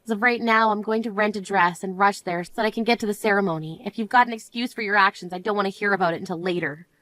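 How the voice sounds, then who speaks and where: A woman voicing a composed young bride in an animated story, speaking in a firm, cold, measured manner. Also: mid-range voice, dry recording, close to an everyday voice, rough recording.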